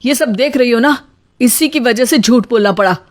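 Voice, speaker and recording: A woman acts out a middle-aged Indian mother-in-law from a storybook drama. Her voice sits a little high. She is quarrelsome and theatrical, always ready with a complaint. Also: moderate pace, close to an everyday voice. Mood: accusing. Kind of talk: dramatized Hindi moral story voice acting.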